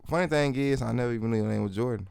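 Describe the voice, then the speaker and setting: A man's voice: medium pitch, rapid-fire, creaky, a little mellow, smooth, dry recording. A man with an Atlanta accent hosting a casual podcast, rambling through stories in slangy, profanity-laced talk. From Atlanta area, United States. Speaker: podcast host.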